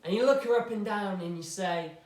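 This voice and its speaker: A man's voice: high-pitched, a little fast, a little mellow, lightly acted. A man performing a troubled young man who speaks intensely and goads the listener. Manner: intense, accusing, goading. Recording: echoey room from far off.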